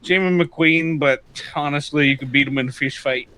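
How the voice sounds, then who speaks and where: A man voicing an excitable, teasing character named Joel in an improvised roleplay, prone to dramatic outbursts. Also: on the high side, normal speed, clean tone. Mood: joking. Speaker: roleplay player.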